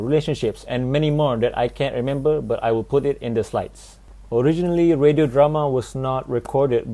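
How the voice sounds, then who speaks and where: A young man delivers a student presentation in an explanatory style. His voice is soft-edged and mellow, with a mid-range male pitch. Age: 20s.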